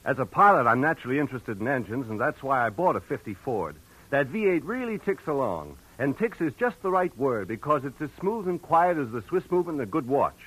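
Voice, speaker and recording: A man's voice: mid-range voice, big pitch swings, fairly quick, fairly bright tone, husky, poor audio. A man giving an enthusiastic, earnest testimonial in a scripted radio ad read. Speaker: ad testimonial speaker.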